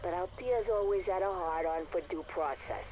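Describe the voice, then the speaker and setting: A woman's voice: on the low side, normal speed, darkish tone, a little hiss or hum, natural voice, poor audio. A woman playing a blunt, unimpressed co-worker with a dry, threatening manner in a video game audio log. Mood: cold, menacing. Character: blunt, menacing, foul-mouthed female co-worker. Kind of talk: acted game dialogue.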